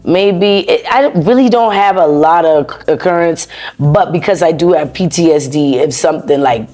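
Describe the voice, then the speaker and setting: Animated American woman telling her story. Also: low-pitched, normal speed, soft background music. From United States. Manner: animated, repeats words, laughs often. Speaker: interview guest, former soldier. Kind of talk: casual conversational storytelling.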